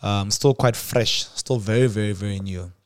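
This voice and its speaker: A man's voice: on the low side, normal speed, smooth. A man, hosting a casual podcast chat in a loose, joking way and laughing often. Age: 20s. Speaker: podcast host.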